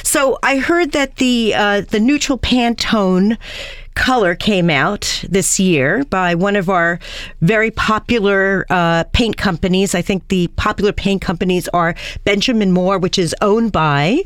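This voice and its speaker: A woman's voice: medium pitch, measured pace, clean tone, no room sound. A middle-aged woman hosting a radio talk show in a studio, chatty and rambling, with a lot of enthusiasm.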